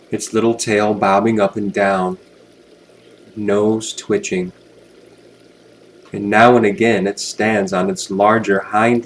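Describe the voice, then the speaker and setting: A man narrating a guided hypnosis relaxation in a soothing, gentle, unhurried way with long pauses. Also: on the low side, slight background noise, poor audio.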